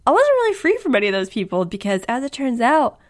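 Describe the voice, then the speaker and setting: A woman's voice: a little high, huge pitch swings, fast. Chatty woman podcast host. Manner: chatty, laughs often, self-deprecating. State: recovering from flu, voice recently lost. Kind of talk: casual chat.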